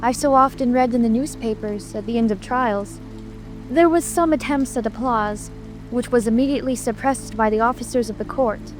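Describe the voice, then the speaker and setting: A woman's voice: fairly high pitch, moderate pace, faint music behind, lightly acted. A woman playing a curious, polite but spirited young girl in a storybook dramatization. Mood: musing. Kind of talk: dramatized audiobook.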